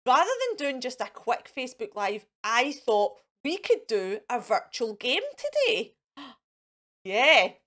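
Female kids' host, Scottish accent, high-pitched and unhurried, sing-song fairy presenter who coaxes and encourages. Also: very animated, a bit bright. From Scotland. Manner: exaggerated, sing-song, coaxing with questions and encouragement. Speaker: children's storytime host in character as a fairy. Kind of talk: playful children's presenting to camera. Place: indoors in front of a fairy backdrop.

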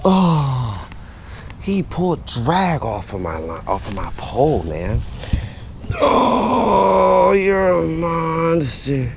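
A man's voice: on the high side, sing-song, drawn-out words, vocal fry, a little hiss or hum. A man chatting casually to himself as a fishing vlogger, informal and repeating his phrases, recorded outdoors on a body-worn action camera. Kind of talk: casual solo vlog chat while fishing. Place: outdoors in a backyard beside a small pond.

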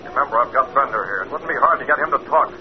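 An actor playing a cool, menacing masked vigilante in a 1940s radio drama, terse and taunting. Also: male voice, medium pitch, lively intonation, rapid-fire, natural voice. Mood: cool, threatening. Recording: noisy, muffled old radio recording.

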